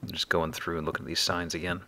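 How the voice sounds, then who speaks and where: Low creaky-voiced man, bright edge. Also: level tone, fast, a little hiss or hum. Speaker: gamer, let's play host. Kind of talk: casual game commentary.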